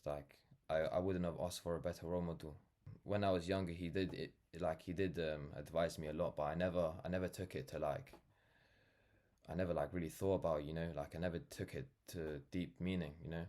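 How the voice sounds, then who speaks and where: Very deep-voiced young London man. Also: fairly even intonation, fairly quick, mellow. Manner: rambling, earnest, peppered with "like" and "you know". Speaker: vlogger, brand founder. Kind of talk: casual reflective monologue to camera.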